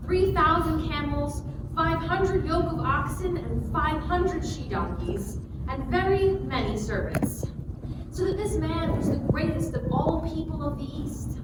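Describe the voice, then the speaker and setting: A woman narrating a dramatic reading of scripture. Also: high-pitched. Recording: very echoey, noisy, distant hall recording.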